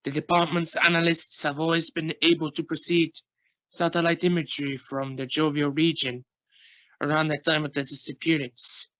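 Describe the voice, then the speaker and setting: A man playing a solemn, formal Russian space agency spokesman in an amateur radio play, speaking with deliberate regret. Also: a little high, little pitch movement, pushed and bright. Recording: dull, noisy home-made radio play recording.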